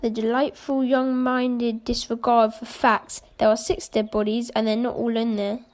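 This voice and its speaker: A young woman playing a brisk, wry adventurer who takes charge in an amateur radio mystery, with clipped, old-fashioned phrasing. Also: on the high side, flat delivery, darkish tone, natural voice. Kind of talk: amateur radio play acting.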